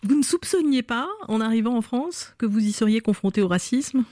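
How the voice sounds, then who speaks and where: Measured woman interviewer, dry sound. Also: a little high, animated, dark tone, dry recording. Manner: measured, hesitant with fillers. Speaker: interviewer host. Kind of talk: radio or TV interview.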